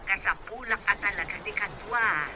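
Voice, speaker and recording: A woman's voice: medium pitch, normal speed, background noise, lightly acted. A woman playing an eager shopkeeper aunt talking to her niece in an Ilocano radio comedy drama. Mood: eager, coaxing.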